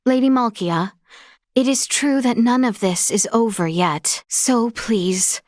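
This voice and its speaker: Earnest, unhurried young princess, high voice. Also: female voice, a little mellow, dry recording. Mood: gracious, sincere. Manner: formal, archaic courtly diction, gracious and measured. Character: earnest, courteous young princess, noble comrade in arms. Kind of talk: scripted video game character dialogue.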